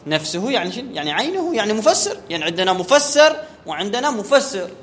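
A man with a high voice gives a grammar lesson. He keeps asking the class questions, answers them himself and repeats his key terms. A faint hiss runs under the recording. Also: sing-song, quick pace, a bit bright. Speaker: grammar teacher. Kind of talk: classroom lecture on Arabic grammar.

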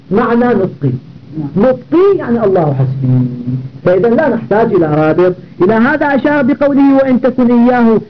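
High-voiced man teaching grammar, lo-fi. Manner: repeats and self-corrects, slows down for quoted verses. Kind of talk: explaining a classical grammar poem.

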